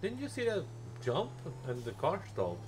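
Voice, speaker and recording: Male game streamer, highly animated and forceful, crude banter as a roleplay paramedic, sirens and noise behind. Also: moderate pace. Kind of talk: casual in-game roleplay chat.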